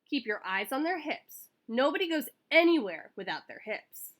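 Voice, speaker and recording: A woman's voice: sits high, sing-song, normal speed, fairly bright tone, voice pushed up. A woman voicing a teasing but encouraging older brother in a children's storybook, with punchy, instructing delivery. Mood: coaching, encouraging.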